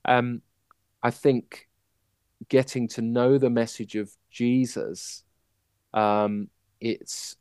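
A man from Manchester, England, telling his personal story as a podcast guest in a halting, reflective manner. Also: mid-range voice, fairly even intonation, a little mellow, clear, resonant tone, dry recording. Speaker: podcast guest, musical writer.

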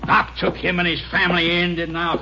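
An actor playing a mean, hot-tempered West Texas cotton farmer with a gruff, domineering rural manner in a 1950s radio drama. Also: male voice, high voice, flat delivery, a little fast, muffled recording. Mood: angry, bullying. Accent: Texan. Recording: noisy, band-limited old radio recording.

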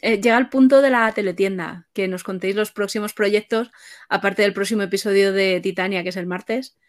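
A woman's voice: medium pitch, fairly even intonation, fairly quick. A woman with a Spain Spanish accent hosting an interview in a casual, joking, informal way. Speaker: interview host.

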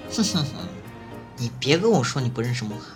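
Woman acting cheeky, very animated young roommate. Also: very deep voice, fast. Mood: teasing, bossy. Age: young adult. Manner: teasing, exaggerated, chatty. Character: cheeky, boastful, meddling college roommate, playful and theatrical. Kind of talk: campus audio drama acting. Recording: music playing behind the voice.

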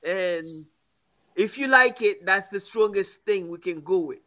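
A man in his fifties from Haiti, a coffee business owner, talking casually in an interview with halting, repeated words. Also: high-pitched, sharp tone. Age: 50s.

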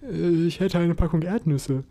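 A man voicing a greasy, jumpy young man who talks in an excitable, stammering way. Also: fairly high pitch, normal speed, voice pushed up, lightly acted.

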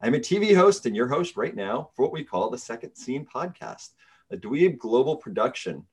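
A male podcast host with a voice of medium pitch. He chats casually and jokes along, laughing often with his guest. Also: sing-song, normal speed, husky. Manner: laughs often, friendly and joking. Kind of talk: casual interview chat.

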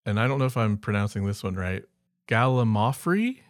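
A man with a fairly low voice chats casually as a podcast co-host. He rambles through word lists with offhand, humorous asides, recorded dry and close. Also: animated, a little fast, no room sound.

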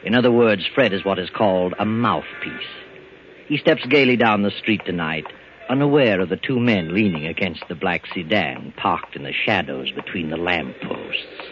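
An actor playing the knowing narrator of an old-time radio crime mystery, telling it in a measured, dramatic way. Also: male voice, low-pitched, expressive, a little hiss or hum. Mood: measured suspense. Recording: dull lo-fi old radio broadcast. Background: loud music.

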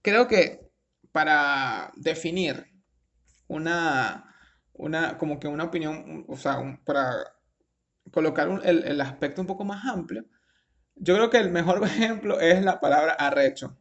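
A man's voice: fairly high pitch, a little slow, fairly bright tone, lo-fi sound. A young Venezuelan man with a Venezuelan accent, casually commenting on a news article, rambling with restarts and slang. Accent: Venezuelan Spanish.

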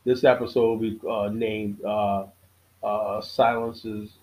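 A man's voice: on the low side, level tone, a little mellow. Preachy middle-aged man repeating his points. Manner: preachy, repeats key lines for emphasis; halting, frequent uh and restarts. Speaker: podcast host. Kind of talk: casual monologue.

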